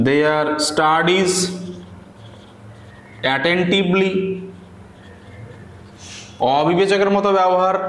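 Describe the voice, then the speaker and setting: A man with a Bengali accent teaching English writing, dictating deliberately word by word and explaining as he goes. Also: high voice, fairly bright tone. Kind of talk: slow dictation lesson with translation and explanation. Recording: echoey room with faint background noise.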